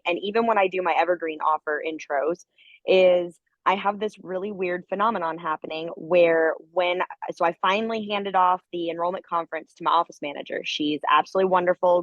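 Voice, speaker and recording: A woman with a raspy, hoarse voice from the flu, lowish and a little muffled. She chats candidly and keeps dropping in "like" and "um". The call audio is dry and lo-fi. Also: dry recording. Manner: chatty, candid, with frequent "like" and "um". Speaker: dance studio owner. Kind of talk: casual call with a business coach. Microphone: video call.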